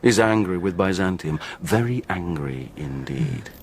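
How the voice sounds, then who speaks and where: An actor in a radio drama playing a menacing, coolly sardonic barbarian captor, deliberate and taunting. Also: male voice, low voice, lively intonation, breathy edge, full character voice. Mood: grave.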